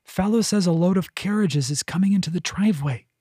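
A man's voice: sits high, a little fast, mellow, husky, dry recording, clean studio sound, pitched above the performer's own voice. A man voicing a cheeky, greedy female cat who makes demands in a raised voice. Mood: urgent.

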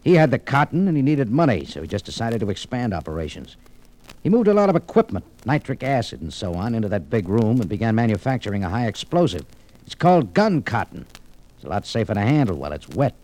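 A hard-boiled American adventurer of vintage radio drama. His voice is fairly low and mellow, with big swings of pitch under the clipped, wry delivery. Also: sing-song, fast. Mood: defiant. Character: tough, wry, unflappable American adventurer telling his tale. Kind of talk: old-time radio detective drama, first-person narration and dialogue. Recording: vintage radio recording, faint music.

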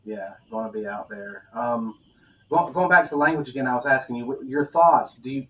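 A man hosting a podcast, asking questions in a halting way with frequent restarts and fillers. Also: mid-range voice, bright, forceful tone, recorded from a distance, lo-fi sound, a little hiss or hum. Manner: halting, restarts often, many fillers, affirming with "right". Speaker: podcast host. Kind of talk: conversational interview.

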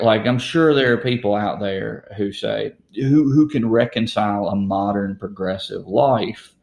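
A man from rural Mississippi talks bluntly in a relaxed podcast chat, his voice rough and gravelly. He argues his point hard, and the room around him rings with noticeable echo. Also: fairly low pitch. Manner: blunt, argumentative, profane. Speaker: podcast co-host. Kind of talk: casual discussion. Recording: roomy echo.

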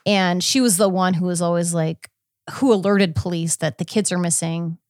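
A woman's voice: fairly low pitch, fairly even intonation, normal speed, a little mellow, no echo. Woman podcast host in casual, dry-sounding chat. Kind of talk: casual chat recapping a court case.

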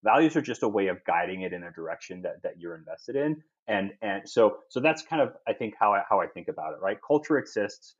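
Low-voiced European man, thoughtful restarts. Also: animated, brisk, few pauses, slightly rough, highs cut off. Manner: thoughtful, frequent restarts and repeated words. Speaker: guest. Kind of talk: podcast conversation.

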